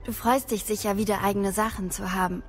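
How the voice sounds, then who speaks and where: A woman's voice: mid-range voice, steady tone, a little fast, faint music behind, natural voice. An actress playing a friendly, eager teenage schoolgirl witch showing a newcomer around, upbeat and welcoming, in a German audio drama.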